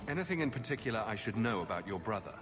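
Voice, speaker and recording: A young fantasy adventurer with a low voice. He talks in a wry, flippant, dry-witted game-hero manner, with music behind him. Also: big pitch swings, rapid-fire. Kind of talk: video game character acting.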